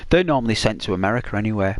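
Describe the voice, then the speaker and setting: A man's voice: fairly low pitch, lively intonation, rapid-fire, dry recording. Rambling British man chatting over gameplay. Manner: rambling, full of "like" and restarts. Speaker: gaming channel host. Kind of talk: casual chat while playing a video game.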